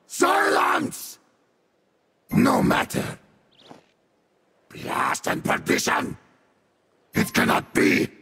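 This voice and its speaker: Gravelly, menacing demon beast warrior. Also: male voice, extremely high pitch, expressive, sharp tone, raised voice, slight background noise, heavily acted. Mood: vengeful, commanding. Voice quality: harsh, gravelly demonic growl. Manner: theatrical, deliberate, menacing. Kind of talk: video game character voice lines.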